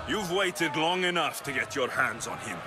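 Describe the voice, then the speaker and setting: An actor voicing a resolute, self-assured young warrior in a video game, firm and cool. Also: male voice, fairly high pitch, animated, normal speed, a crowd in the background, close to an everyday voice. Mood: defiant. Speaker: hero of an action game. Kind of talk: dramatic video game acting. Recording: game music behind the voice.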